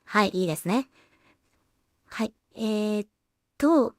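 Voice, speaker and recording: A woman's voice: mid-range voice, animated, takes it slowly, over loud music. A woman giving casual production commentary, thinking aloud as she works as a solo animation creator and voice actor.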